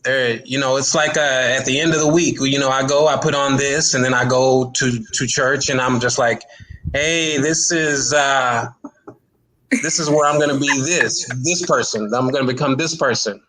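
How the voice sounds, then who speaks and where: A man speaking as an interview guest, casual and thoughtful, explaining his view in a measured way. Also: mid-range voice, steady tone, bright, forceful tone, slight background noise, poor audio. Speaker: stage play cast guest.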